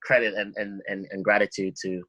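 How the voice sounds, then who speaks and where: A man speaking as a songwriter guest in an interview, reflective and halting, often repeating words as he thinks. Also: fairly low pitch, flat delivery, pushed and bright.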